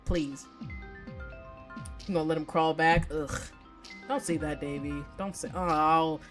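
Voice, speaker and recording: A woman giving casual, slangy and profane live commentary as she plays a visual novel, exclaiming in an animated way. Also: deep voice, slow pace, faint music behind. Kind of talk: casual game livestream commentary.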